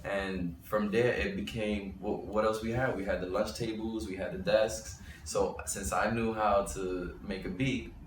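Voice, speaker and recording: Deep-voiced young New man, rambling. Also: steady tone, measured pace, few pauses. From Bushwick, Brooklyn, New York. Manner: rambling, restarts, thinking aloud. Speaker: rapper and interview guest. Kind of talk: casual interview talk. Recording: roomy sound from a distant mic with faint noise.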